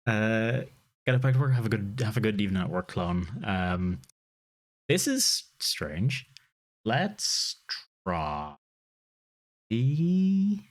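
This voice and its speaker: A man's voice: on the low side, sing-song, slow pace, long pauses. A man streaming a game live, chatting casually, swearing freely and laughing often.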